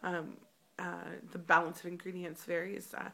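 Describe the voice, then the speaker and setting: A woman in her thirties speaking candidly in a casual personal vlog, her voice slightly rough, with many ums. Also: lowish voice, monotone, moderate pace, pushed and bright. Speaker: vlogger. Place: hotel room.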